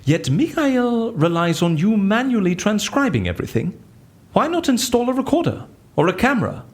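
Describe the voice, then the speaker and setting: Cocky theatrical professional, nosy, higher male voice that swings all over, audio drama. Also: wildly swooping pitch, normal speed, full character voice. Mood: inquisitive. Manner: theatrical, probing, boastful.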